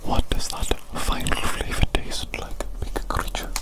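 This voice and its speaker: A woman's voice: measured pace, natural voice. A woman playing a playfully evil doctor in an ASMR medical roleplay, whispering soothingly and repeating her words. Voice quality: breathy unvoiced whisper. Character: playfully evil doctor gently examining a big creature patient. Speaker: ASMR performer.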